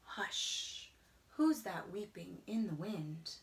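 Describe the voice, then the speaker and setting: A middle-aged woman reads a picture book aloud to children in a rhythmic, singsong way, often dropping into hushed whispers. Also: fairly low pitch, slow pace, vocal fry, a little hiss or hum. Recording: roomy indoor echo.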